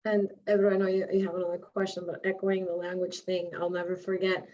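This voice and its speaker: A woman's voice: on the low side, level tone, fast talker, warm tone, highs cut off. A woman whose first language is Spanish, speaking English with a slight accent as she tells a story on a panel and acts out the quotes. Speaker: panelist, architect.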